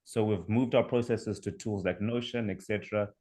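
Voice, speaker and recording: A young man from Zimbabwe with a Zimbabwean accent, presenting his startup over a video call in a measured, explanatory way. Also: lowish voice, steady tone, dark tone. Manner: measured, explanatory, with fillers and restarts. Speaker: startup founder pitching. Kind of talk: online pitch presentation.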